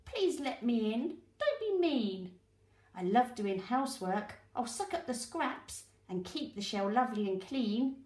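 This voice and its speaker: A woman's voice: on the high side, big pitch swings, measured pace, a little mellow, echoey room. A woman voicing an eager, house-proud little bristleworm who is keen to please. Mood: eager pleading.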